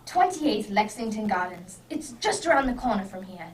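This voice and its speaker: A woman playing a brisk, impatient, clever male detective with theatrical emphasis in an amateur radio drama. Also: mid-range voice, very animated, lightly acted. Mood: urgent. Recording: distant microphone, background noise.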